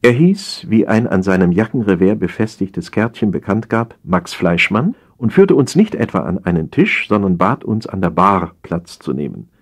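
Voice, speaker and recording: A man reading a scripted humorous story as an audiobook narrator, in an expressive, theatrical storytelling manner. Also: deep voice, moderate pace, few pauses, husky.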